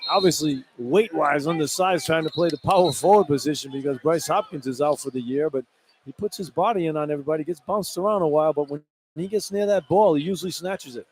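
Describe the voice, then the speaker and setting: A man's voice: a little high, quick pace. A man giving informal, colloquial color commentary on a live basketball radio broadcast from an arena. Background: clear arena noise.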